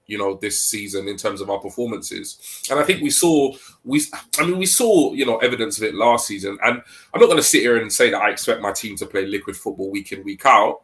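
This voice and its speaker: A man's voice: on the low side, fairly bright tone. Congested man chatting about football. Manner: hesitant, many ums, thinking aloud. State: congested with a cold. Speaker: podcast co-host. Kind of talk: casual football podcast chat.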